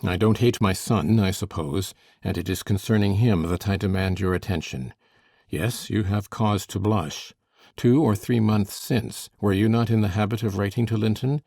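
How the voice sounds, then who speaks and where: A man's voice: lowish voice, normal speed, darkish tone, dry recording, studio quality. An actor playing a brooding, cruel middle-aged man who taunts menacingly and coaxes falsely. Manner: menacing, mocking, then falsely coaxing. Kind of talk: audiobook character reading.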